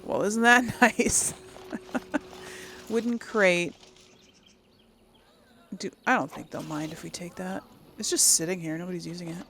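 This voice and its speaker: A woman's voice: on the low side, measured pace, pauses often, a bit bright, slight background noise. A woman hosting a casual let's play, chattily thinking aloud to her viewers. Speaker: gamer, series host.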